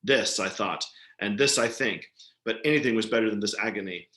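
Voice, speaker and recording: A man playing a nervous, boastful, unhinged murderer confessing his crime in a theatrical, intense first-person gothic story reading, with sudden hushes and swells. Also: fairly low pitch, a little fast. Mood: frantic, tormented. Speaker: story reader. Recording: roomy, echoing room sound.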